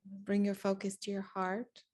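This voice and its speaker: A woman leading a guided meditation, giving spoken instructions in deliberate short phrases with long pauses between them. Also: medium pitch, completely flat delivery, darkish tone.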